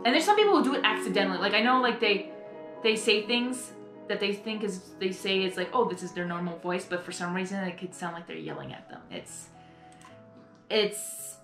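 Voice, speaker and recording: A young adult woman giving casual, rambling reaction commentary in an earnest, halting, apologetic way. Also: mid-range voice, sharp tone. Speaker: reaction video host. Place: small bedroom, indoors. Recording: echoey room, music behind.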